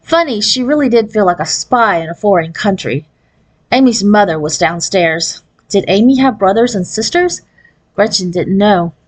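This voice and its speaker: A woman narrating a children's novel as an expressive read-aloud. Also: medium pitch, normal speed, poor audio. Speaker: storybook reader.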